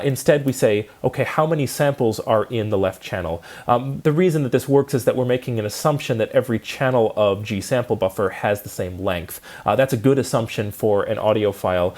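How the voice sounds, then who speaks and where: A man's voice: on the low side, a little mellow. A man lecturing in a methodical, explanatory way, as if walking students through a programming lesson step by step.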